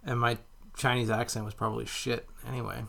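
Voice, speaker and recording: A man co-hosting a podcast in casual chat, teasing with quick quips and frequent laughs. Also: mid-range voice, flat delivery, vocal fry, pushed and bright. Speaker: podcast co-host.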